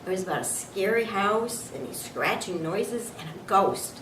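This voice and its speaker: A woman narrates a children's book, reading from the text. She sounds slightly distant in an echoey room, with clear noise on the recording. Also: medium pitch, animated, moderate pace, a bit bright. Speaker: storybook reader.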